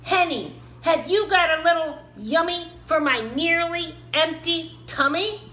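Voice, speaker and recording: A woman voicing a small, hungry, demanding male gnome in a children's storybook, loud and exclamatory. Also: very high voice, measured pace, pitched above the performer's own voice, exaggerated voice. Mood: wheedling, hungry. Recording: roomy, echoing, some background noise.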